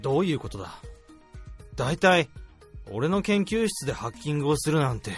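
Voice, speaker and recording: A man's voice: a little high, sing-song, normal speed, faint music behind. A man playing a blunt, hot-tempered AI developer in a radio drama, with dramatic, emphatic delivery. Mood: tense, suspicious. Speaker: lead character and narrator.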